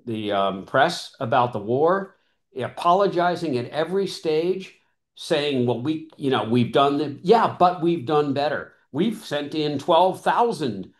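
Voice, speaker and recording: A middle-aged man, a regular panelist in a political chat, talking bluntly and emphatically over a video call. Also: on the high side.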